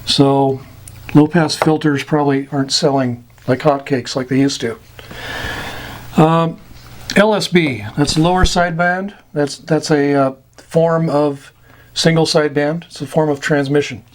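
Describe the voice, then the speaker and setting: American man explaining terms, slightly high voice. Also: fairly even intonation. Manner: halting, pausing delivery in short phrases, plain-spoken. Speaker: instructor explaining CB radio terms. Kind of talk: informal explainer, glossary-style lesson.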